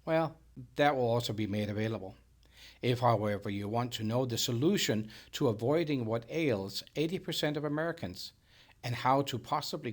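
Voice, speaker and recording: A middle-aged man from Denmark with a Danish accent, a native Danish speaker, delivering a scripted sales presentation. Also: mid-range voice. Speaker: business owner and presenter.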